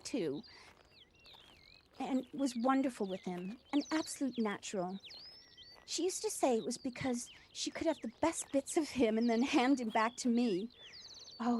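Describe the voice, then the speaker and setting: High-voiced earnest widowed mother played by an actress. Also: darkish tone. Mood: tender. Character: proud, devoted widowed mother who runs a village cafe. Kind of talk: audio play dialogue, reflective storytelling. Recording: noisy home recording on personal device.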